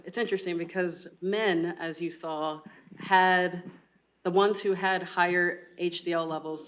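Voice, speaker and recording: A young woman resident physician giving a medical lecture and answering audience questions with measured, thoughtful replies full of ums and uhs. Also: on the low side. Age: young adult.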